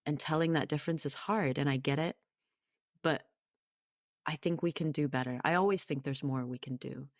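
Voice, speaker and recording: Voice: mid-range voice, moderate pace, no room sound, studio quality. A podcast guest talking conversationally in an interview, reflective but emphatic.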